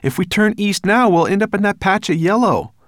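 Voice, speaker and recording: A man's voice: on the low side, steady tone, normal speed, darkish tone, dry recording, voice pushed up, natural voice. A man voicing an earnest, anxious young boy with a hesitant manner, in a children's storybook reading. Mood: worried, insistent. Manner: hesitant, stammering when unsure. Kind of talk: children's audiobook character voice.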